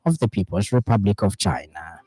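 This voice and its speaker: A man with a Zambian accent reading a scripted radio news bulletin in a formal, measured way. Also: on the low side, expressive, warm tone, breathy edge, faint music behind. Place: radio studio.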